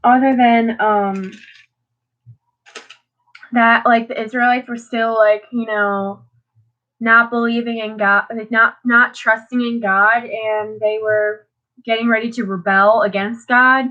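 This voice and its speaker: A woman's voice: on the high side, steady tone, takes it slowly, dull audio. Stuffy-nosed teenage girl rambling. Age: teens. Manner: rambling, many fillers and restarts. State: stuffed up, sniffling. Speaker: vlogger. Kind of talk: casual devotional talk.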